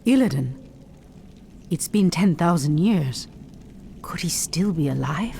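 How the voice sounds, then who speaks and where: A woman playing a proud, determined elven high priestess in a fantasy video game, formal and resolute. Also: expressive, slow, creaky, warm tone, slight background noise, exaggerated voice. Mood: defiant. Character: proud, determined night elf high priestess, ancient and commanding. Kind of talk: video game cutscene acting.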